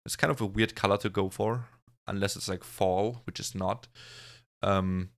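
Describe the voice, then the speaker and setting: A man's voice: fairly low pitch, fairly even intonation, normal speed. A man giving a casual reaction commentary on a music video, rambling and hedging as he points things out. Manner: rambling, observational, hedging with "kinda" and "like". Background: faint music from the video.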